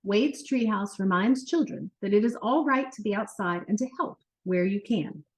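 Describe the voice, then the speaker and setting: A woman reads a children's story aloud in a mid-pitched voice. Her delivery is expressive and animated, shaped for young listeners as a storyteller's narration. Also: normal speed, rough recording.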